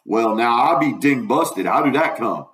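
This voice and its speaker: A man's voice: medium pitch, lively intonation, a little slow, a bit bright, voice pushed up. A man voicing a stubborn, uneducated runaway in a storybook reading, with a folksy Southern US dialect and an emphatic, argumentative manner. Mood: puzzled. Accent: Southern US dialect, put on. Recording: roomy, echoing room.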